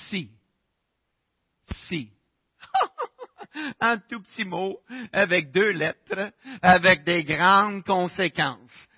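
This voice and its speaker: English-speaking Canadian pastor preaching in French, slow and deliberate, muffled close sound. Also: male voice, sits high, husky, dry recording. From Winnipeg, Canada. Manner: conversational preaching with rhetorical questions. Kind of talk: sermon.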